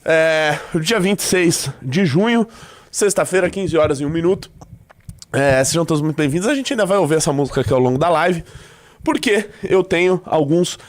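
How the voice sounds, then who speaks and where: A man's voice: a little high, lively intonation, normal speed. A Brazilian man with a stuffy nose hosting a live show in loose, joking commentary, peppered with "tá?". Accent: Brazilian Portuguese. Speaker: livestream host.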